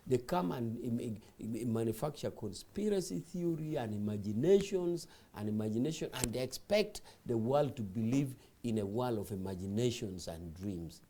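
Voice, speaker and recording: Insistent man with a dark voice. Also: medium pitch, expressive, moderate pace, hardly any pauses. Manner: insistent, lecturing, repeats phrases. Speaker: party official guest. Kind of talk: talk show debate.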